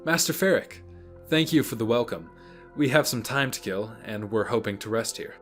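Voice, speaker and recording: A man playing an earnest, analytical monk detective in an amateur sci-fi audio play, reasoning in a measured way. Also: mid-range voice, animated, fairly bright tone, close to an everyday voice. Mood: earnest, explanatory. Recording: music under the voice.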